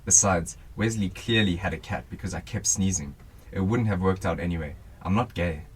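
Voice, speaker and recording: A man's voice: sits low, moderate pace, slightly rough, rough recording. A young man playing a guarded, dry civilian helping police in a radio drama. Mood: defensive. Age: young adult.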